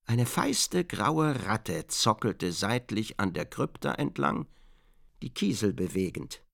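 A man narrating a German radio drama in a measured, observant literary style. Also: lowish voice, very animated, creaky, fairly bright tone, exaggerated voice.

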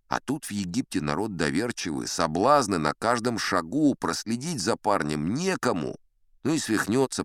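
An actor playing a boastful old sea captain who spins tall tales in an audiobook, conversational and self-important, with wry dramatic pauses. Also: male voice, deep voice, no echo, clean studio sound, exaggerated voice. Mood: wry, indulgent.

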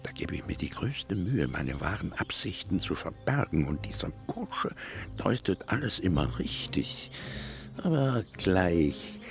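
An actor playing the secret inner voice of a murderous dwarf in a fantasy radio play, sinister, gloating and contemptuous. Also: male voice, low-pitched, sing-song, unhurried, gravelly, music in the background, full character voice. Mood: contemptuous scheming.

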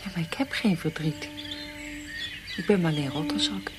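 A woman's voice: deep voice, normal speed, background noise, close to an everyday voice. An actress in a radio play voicing a numb, bitter, grieving woman. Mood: weary, irritable. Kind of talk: Dutch radio drama acting.

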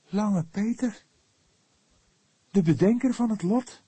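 A male narrator takes on a devout young woman in a detective audiobook. Her voice sits much higher and is pushed louder, with solemn, deliberate phrasing. The sound is dry and close. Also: breathy edge, no echo, exaggerated voice. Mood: shocked. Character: devout, earnest, grief-stricken young woman.

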